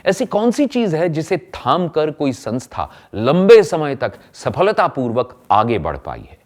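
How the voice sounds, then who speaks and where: Guy doing training film narration, emphatic, pitch goes up and down a lot, asks rhetorical questions. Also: wildly swooping pitch, a little slow. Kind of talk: scripted explanatory narration.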